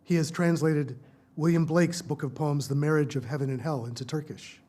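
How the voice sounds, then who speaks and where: A man hosting a library event, reading formal introductions of the speakers in a measured, prepared way. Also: fairly high pitch, steady tone, warm tone. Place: lecture hall at a research library.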